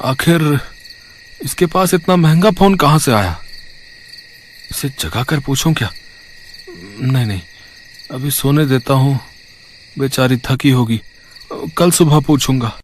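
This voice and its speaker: A man's voice: mid-range voice, slow, long pauses, slight background noise, close to an everyday voice. A man playing a worried, suspicious working-class husband in a cartoon story, earnest and questioning. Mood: worried, musing. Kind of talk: Hindi cartoon story dialogue.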